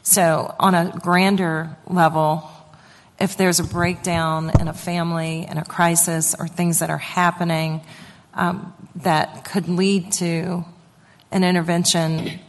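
Council member, woman, low monotone, slow and searching, echoing room. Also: a little hiss or hum. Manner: slow, searching, thinking aloud. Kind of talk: remarks at a public meeting.

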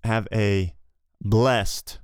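A man's voice: on the low side, fairly even intonation, drawn-out words, clean tone, no echo, clean studio sound. A man co-hosting a podcast in a casual, conversational style. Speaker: podcast co-host.

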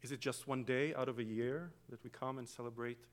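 A man from Israel with an Israeli accent, a native Hebrew speaker, giving a slow, reflective guest sermon in a church. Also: mid-range voice, fairly even intonation. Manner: slow, reflective, with long pauses and soft trailing phrases. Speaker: guest preacher. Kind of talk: meditative sermon with chanted Hebrew opening.

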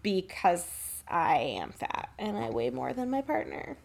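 A woman's voice: medium pitch, sing-song, measured pace, gravelly. A young woman co-hosting a podcast in casual, sweary banter, irreverent and self-deprecating. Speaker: podcast co-host.

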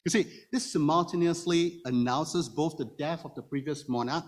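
A man's voice: on the high side, moderate pace. A man who is a native Hokkien speaker, preaching an explanatory sermon to a congregation and putting rhetorical questions to his listeners. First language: Hokkien Chinese. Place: church room before a congregation. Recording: reverberant hall, long echo.

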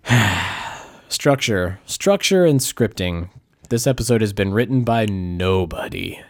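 Podcast co-host, man, lowish voice, congested and nasal, rambling, dry close sound. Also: animated, a little slow, no echo. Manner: loose, rambling, laughs often. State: nasal from a cold. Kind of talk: casual chat.

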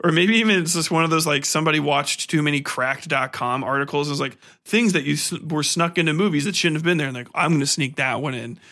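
A man's voice: on the high side, fast talker, hardly any pauses, sharp tone. A man hosting a podcast in a casual, profanity-laced review style, blunt, exasperated and sarcastic. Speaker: podcast host. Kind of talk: casual ranting film review.

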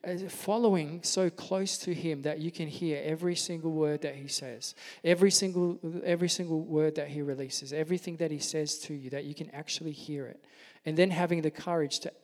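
Male pastor, soft-spoken and earnest, slightly raised pitch; sermon in a reverberant hall. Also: fairly even intonation, fairly quick. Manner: earnest, gentle preaching.

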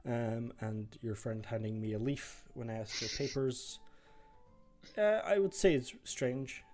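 A man's voice: medium pitch, a little slow, a little mellow, soft background music, natural voice. A man voicing a tired, disheveled older guard captain who stammers and hesitates while staying politely suspicious. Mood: defensive.

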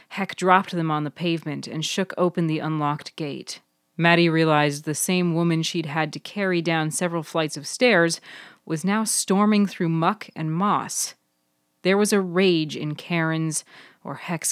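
Low-voiced woman narrating a story. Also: dry recording, studio quality. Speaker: author and narrator of a fiction podcast.

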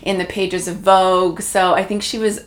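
A woman's voice: mid-range voice, flat delivery, normal speed. A woman from Canada, a guest in an interview, talking in a reflective, chatty way with many fillers. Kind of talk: conversational interview. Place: room in Toronto.

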